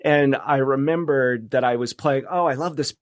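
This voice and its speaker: A middle-aged American man chatting casually as a podcast guest, reminiscing in a halting way. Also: medium pitch, no room sound, studio quality.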